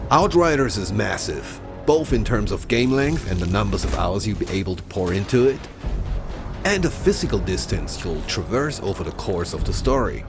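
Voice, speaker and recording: A man narrates a scripted game showcase as its presenter. His voice is low with animated intonation, measured and explanatory, with music playing clearly behind him.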